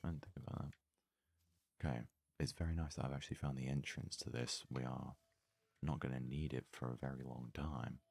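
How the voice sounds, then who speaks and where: A man giving casual ASMR let's play commentary in a very soft, hushed, breathy voice, murmuring his thoughts aloud without hurry. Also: very deep voice, steady tone, no echo. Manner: unhurried, murmured thinking aloud. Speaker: gaming video host.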